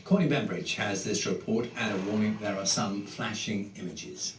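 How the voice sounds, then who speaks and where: A man presenting a morning news bulletin with crisp, measured delivery. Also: on the low side. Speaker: news anchor. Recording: distant broadcast replayed into a roomy space with faint noise.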